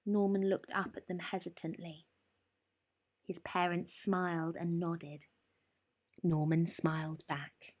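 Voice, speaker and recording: A woman storyteller reading a children's picture book expressively, with deliberate phrasing, drawn-out emphasis and dramatic pauses. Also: on the low side, level tone, darkish tone. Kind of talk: expressive bedtime story narration.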